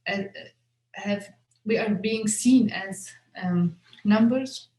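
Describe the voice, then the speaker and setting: A woman's voice: mid-range voice, slow pace, dark tone, reverberant. A young woman, a film director whose first language is Arabic, answering questions at a festival Q&A with many fillers and restarts. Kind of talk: festival Q&A conversation.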